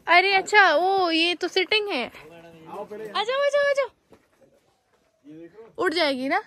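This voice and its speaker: A woman chatting casually outdoors on a hillside, lively and coaxing as she talks to a small child, recorded on a handheld phone. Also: very high-pitched, takes it slowly.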